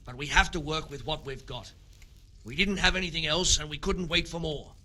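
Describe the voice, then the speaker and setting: A sceptical, practical foreman in a medieval video game. His voice is fairly high and very sharp, with a forceful edge. He sounds like someone tired of trouble on the job. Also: brisk. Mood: sceptical, defensive. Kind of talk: video game drama acting.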